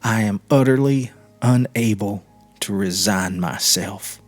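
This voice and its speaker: A man's voice: fairly low pitch, slow, dry recording, soft background music. A man voicing a proud, bitter Italian army major in an audiobook story, speaking formally and emphatically. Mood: grieving, apologetic. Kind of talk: audiobook character reading.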